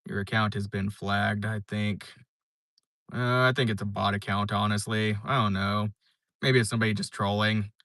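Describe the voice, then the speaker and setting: A man's voice: lowish voice, level tone, moderate pace, a bit bright, dry recording, studio quality. A young man live streaming, chatting casually and reading viewer comments, rambling with lots of fillers and restarts. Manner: laid-back, rambling, many fillers and restarts.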